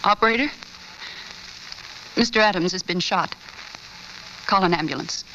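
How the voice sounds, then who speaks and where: A woman's voice: on the low side, normal speed, lightly acted. A woman acting a frightened, desperate gangster's girlfriend in a 1940s radio drama. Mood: panicked, urgent. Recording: very noisy, muffled old radio.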